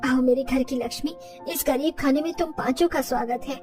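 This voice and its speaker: A poor, kindly and anxious village mother-in-law from a cartoon moral tale , acted by a woman. Her voice is high, set a little back from the mic, with faint music behind it. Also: a little fast, darkish tone, far from the mic, natural voice. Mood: tender blessing. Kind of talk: moral-story cartoon dialogue.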